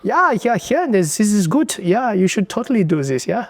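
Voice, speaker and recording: A man putting on an eager German banker colleague, high and upbeat, who piles up his agreement in quick yeahs. There is gravel in the tone. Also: big pitch swings, no echo.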